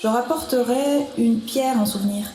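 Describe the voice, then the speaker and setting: A woman's voice: on the high side, normal speed, noisy background. A woman reading scripted radio narration in an echoey room, with music behind her.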